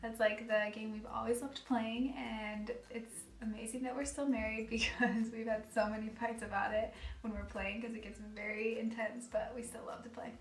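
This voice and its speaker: A soft-spoken American woman in her twenties chatting casually and giggling in a vlog. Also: a little high, little pitch movement, normal speed, hardly any pauses. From United States. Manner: chatty, giggly, self-deprecating. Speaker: vlogger. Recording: echoey room, voice a bit far from mic, faint music.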